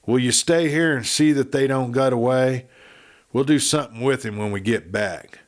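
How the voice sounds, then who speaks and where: A man voicing an angry, vengeful cowboy in a Western story, talking in rough frontier dialect. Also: mid-range voice, moderate pace, voice pushed up, close to an everyday voice. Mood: angry contempt. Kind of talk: Western audiobook character acting.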